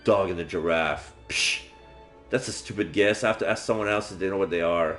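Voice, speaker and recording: A man's voice: sits low, a little fast, fairly bright tone. A man reading a visual novel aloud on a stream, with casual asides as he plays. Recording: faint music behind the voice. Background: game music.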